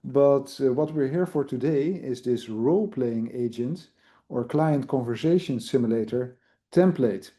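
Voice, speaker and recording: A man's voice: mid-range voice, unhurried, mellow. A man with a Dutch accent giving a casual live online demo, easygoing and full of fillers. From Netherlands. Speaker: presenter, university IT product owner.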